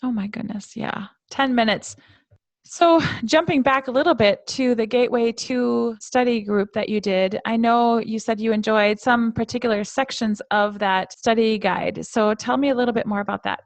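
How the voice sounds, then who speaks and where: A woman's voice: fairly high pitch, normal speed, no echo. A woman hosting a casual conversational interview, responding to her guest in a supportive, encouraging way. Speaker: podcast host, interviewer.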